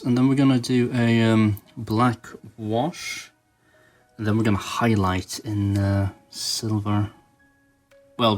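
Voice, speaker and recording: Casual rambling man over background music. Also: lowish voice. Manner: informal, self-deprecating, thinking aloud. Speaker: toy customizer, channel host. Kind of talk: casual rambling hobby commentary while painting.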